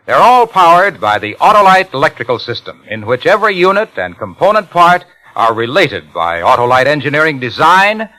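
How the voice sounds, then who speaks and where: A man's voice: on the high side, very animated, moderate pace, hardly any pauses, pushed and bright, low-quality recording. A man announcing a scripted 1950s radio commercial with polished, emphatic salesmanship. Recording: vintage 1950s radio recording.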